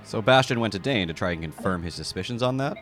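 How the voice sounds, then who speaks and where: A man's voice: lowish voice, lively intonation, fast talker, a bit bright, soft background music. A man voicing a stoic young mercenary leader in game dialogue, blunt, dutiful and terse. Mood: grim, intent. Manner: plain-spoken, terse. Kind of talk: game dialogue read aloud in a fan dub.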